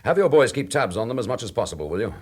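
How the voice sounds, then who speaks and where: An actor playing a dry, dogged chief inspector in a radio drama, with a British accent and a brisk, wry, authoritative manner. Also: male voice, medium pitch, sing-song, husky. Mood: brisk, businesslike.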